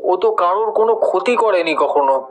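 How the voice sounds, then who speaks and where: An actor playing an anxious, bewildered household servant who pleads in an upset way, in an audio drama with music behind. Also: male voice, sits high, expressive, brisk. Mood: anxious, pleading. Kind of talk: dramatic audio story acting.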